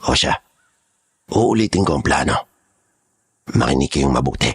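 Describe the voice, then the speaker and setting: Man acting gravelly, menacing older gang boss. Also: a bass voice, lively intonation, moderate pace, exaggerated voice. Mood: commanding. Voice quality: raspy, gravelly. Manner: commanding, threatening. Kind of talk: audio horror drama acting.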